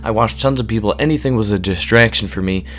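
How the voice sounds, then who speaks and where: A man in his twenties with a hoarse voice, monotone and rambling, talking earnestly and unscripted to his viewers. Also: fairly low pitch, fast, faint music behind. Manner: rambling, earnest, many ums. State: hoarse, voice going from illness. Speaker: gaming YouTuber. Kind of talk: unscripted casual talk to camera.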